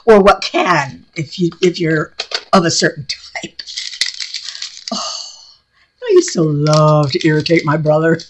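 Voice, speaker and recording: A woman's voice: low-pitched, lively intonation, measured pace, long pauses, slight background noise, poor audio. A middle-aged woman from New York with a New York accent, chatting casually and bluntly in a craft vlog, laughing often. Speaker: craft vlogger. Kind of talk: casual rambling chat. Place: home craft room.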